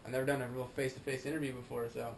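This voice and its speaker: A man's voice: mid-range voice, flat delivery, rapid-fire, creaky, slight background noise. An American man hosting a show, chatting casually and enthusiastically. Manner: upbeat, enthusiastic slang. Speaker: show host. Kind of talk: casual chat.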